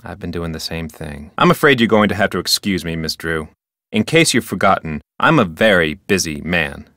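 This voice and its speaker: A pompous, touchy male park ranger for a video game. His voice sits a little low but rises and dips dramatically. He speaks formally, as a self-important public servant, in a very dry, close recording. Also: lively intonation, normal speed, sharp tone, no echo. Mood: stiff, subdued, then testy, put-upon. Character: officious, dutiful, touchy park ranger and local history curator. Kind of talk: video game character dialogue.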